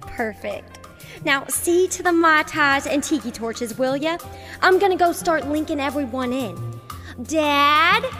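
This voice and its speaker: A woman's voice: sits high, lively intonation, unhurried, bright, forceful tone, heavily acted. A woman playing a perky, girlish party hostess in a sci-fi radio comedy, bubbly and a bit bossy. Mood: perky, organising. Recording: music behind the voice.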